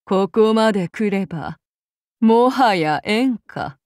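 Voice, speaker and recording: An actress voicing a calm, menacing samurai woman of demon blood, speaking in formal archaic phrasing to her master. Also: mid-range voice, slow, no room sound, clean studio sound. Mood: cold, brooding. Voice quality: formal, archaic courtly speech. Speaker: game character voice actress. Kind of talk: game character voice lines.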